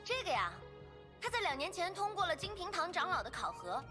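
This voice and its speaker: A cute, chatty little creature girl from an anime-style game. The voice is extremely high and lively in pitch. Music plays clearly behind it. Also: brisk.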